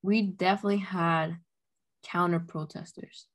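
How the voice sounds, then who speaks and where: A woman's voice: on the low side, fairly even intonation, a little slow. A young woman from Honduras, earnestly telling her story as an activist over a video call from her bedroom, with many "um"s and "like"s. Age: young adult. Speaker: youth climate activist. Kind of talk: casual spoken account. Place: bedroom at home, indoors. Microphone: laptop webcam, video call.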